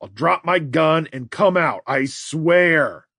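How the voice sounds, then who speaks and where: A man voicing a steady older grandfather. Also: a little high, takes it slowly, no echo, studio quality, full character voice. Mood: earnest.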